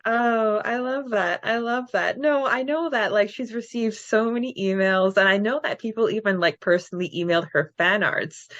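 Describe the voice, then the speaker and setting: A woman co-hosting a casual fan podcast, chatty and quick to laugh as she reads out answers. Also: mid-range voice, a bit bright, no echo, muffled recording. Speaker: podcast co-host.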